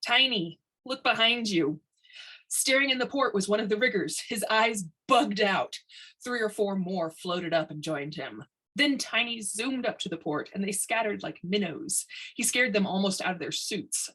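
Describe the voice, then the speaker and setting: A woman narrates fiction in an expressive audiobook style. Her voice sits at a middle pitch with a somewhat husky, unpolished edge. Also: moderate pace, poor audio. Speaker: storyteller.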